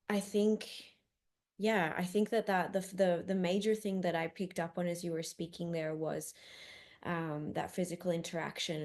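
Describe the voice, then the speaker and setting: A woman from Australia co-hosting a reflective chat, talking slowly with many pauses and restarts. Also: fairly low pitch, a little mellow. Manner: hesitant, many ums and restarts. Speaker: podcast co-host.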